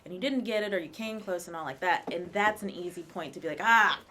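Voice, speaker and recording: A woman co-hosting a live show, talking in a chatty, expressive way. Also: fairly low pitch, slight background noise. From rural area. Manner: chatty, expressive, quick to laugh. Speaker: co-host and actor. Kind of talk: casual live show chat.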